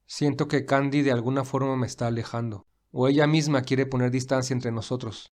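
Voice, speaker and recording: Man acting an earnest, devoted young gentleman. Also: mid-range voice, fairly even intonation, brisk, natural voice. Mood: pensive, determined. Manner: earnest, reflective.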